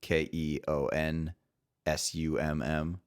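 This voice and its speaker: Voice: extremely low pitch, level tone, slow pace, smooth. A podcast co-host reading listener questions and offering advice in a casual chat, with a dry, wry sense of humor.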